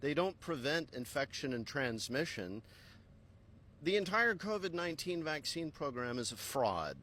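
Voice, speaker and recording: A man answering interview questions in a forceful, insistent way, pressing each point hard. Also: fairly high pitch, very animated, a little slow. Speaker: interview guest.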